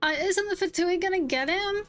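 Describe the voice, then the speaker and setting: A woman's voice: extremely high pitch, normal speed, a bit bright, faint music behind. A woman streamer giving casual live reactions to a video game. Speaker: gaming streamer.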